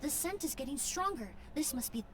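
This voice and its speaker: A woman voicing a composed, polite investigator in an anime-style game, with measured, formal phrasing. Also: high-pitched, lively intonation, a little hiss or hum. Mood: reassuring.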